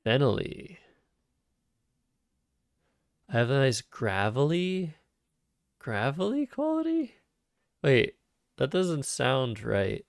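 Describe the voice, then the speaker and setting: Slow, calm man with vocal fry. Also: mid-range voice, no room sound, clean studio sound. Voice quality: calm, soothing voice. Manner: thinks aloud over moves, soft-spoken and unhurried. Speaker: Scrabble streamer. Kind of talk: live-streamed game commentary. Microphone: cheap microphone.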